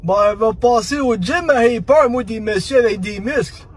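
A man's voice: very high voice, moderate pace, slightly rough. A man putting on a whiny, pushy, dim prank caller with a Quebec French accent, rambling in a childlike way. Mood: pleading, silly. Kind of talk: prank phone call. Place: inside a parked car.

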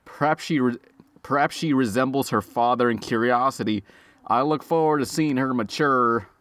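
A man's voice: mid-range voice, moderate pace, fairly bright tone, dry recording. A man giving casual, sarcastic, sweary let's play commentary, joking and reading game text aloud. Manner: sarcastic, profane. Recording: clear background noise.

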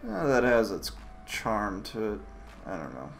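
A man hosting a casual, unscripted software tutorial, thinking aloud in short, halting phrases. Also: fairly low pitch, huge pitch swings, creaky, soft background music.

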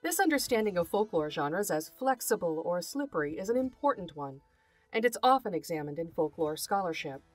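A woman hosting an educational podcast, reading scripted narration in a measured, articulate and formal way. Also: fairly low pitch, big pitch swings, dry recording, soft background music. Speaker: podcast host, folklore scholar.

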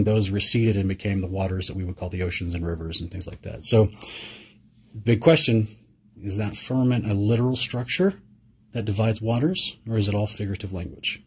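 Husky low-voiced male interviewer. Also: fairly quick, a little mellow. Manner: searching, thinks aloud with many fillers. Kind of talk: casual conversational interview.

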